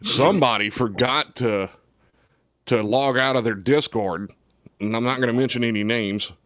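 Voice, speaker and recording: A man with a deep voice co-hosting a casual radio show, joking in a laid-back way. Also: normal speed, a bit bright.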